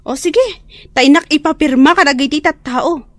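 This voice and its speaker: A woman's voice: high-pitched, normal speed, no echo, close to an everyday voice. A woman playing an indignant young single neighbour with an Ilocano accent in a comedy radio drama. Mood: determined.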